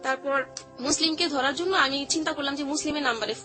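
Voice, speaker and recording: A woman's voice: high voice, quick pace, pushed and bright. A woman with a Bangladeshi accent giving a personal account as an interview guest, explaining in colloquial, repetitive phrases. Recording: music playing behind the voice. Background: background music.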